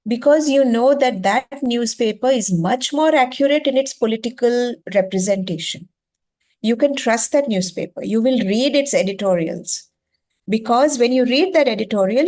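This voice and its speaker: A woman's voice: fairly high pitch, darkish tone. A woman from India with an Indian accent and Gujarati as her native language, giving an explanatory masterclass talk as an educator, emphatically repeating phrases and checking in with short questions. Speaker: educator leading a masterclass on reading.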